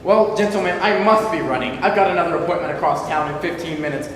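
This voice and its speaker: A man's voice: on the high side, fast, close to an everyday voice. A man playing a slick con man with formal business patter in an amateur stage play, recorded in an echoey hall. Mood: polite, brisk. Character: slick, smooth-talking male con man posing as agent. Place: indoor hall, recorded from far off. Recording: very echoey hall, distant mic, faint noise.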